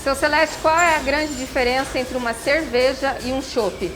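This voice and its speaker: A woman's voice: sits high, fairly even intonation, normal speed, a bit bright, faint music behind, low-quality recording. A woman reporter interviewing a guest for a TV program, asking direct questions in an upbeat way.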